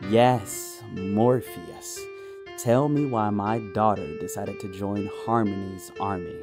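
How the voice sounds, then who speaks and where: A man's voice: lowish voice, takes it slowly. A man playing an aloof, proud siren father in an audio drama, speaking in a terse, dry manner. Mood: dry, challenging. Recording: voice under plucked guitar music.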